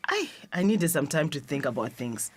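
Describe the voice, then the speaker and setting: A tired worried south african mom, kinda low voice, swingy intonation, radio play. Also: female voice, sing-song, normal speed, husky, heavily acted. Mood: troubled. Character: troubled, tired, traditional middle-aged mother. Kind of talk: radio drama acting.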